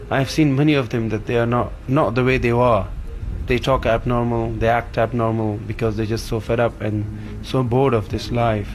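Earnest, low-voiced man. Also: a little hiss or hum. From Afghanistan. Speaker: refugee interviewed in a camp. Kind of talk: interview.

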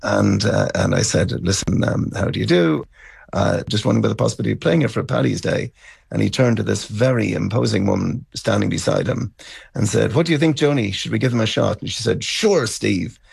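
A middle-aged or older Irish man telling stories as a musician being interviewed, reflective and somewhat halting. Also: on the low side, lively intonation, gravelly, a little mellow, breathy edge, no echo. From County Cavan, Ireland. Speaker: interview guest, band musician. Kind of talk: conversational storytelling interview.

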